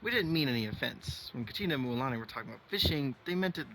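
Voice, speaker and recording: A man playing a composed, courteous young man in a video game, with dry, measured delivery. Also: medium pitch, expressive, fairly bright tone, a little hiss or hum, low-quality recording. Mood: apologetic. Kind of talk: game dialogue.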